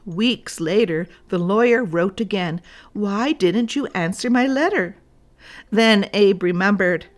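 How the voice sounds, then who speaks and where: A woman reading a storybook aloud for children, deliberately and addressed straight to young listeners. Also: medium pitch.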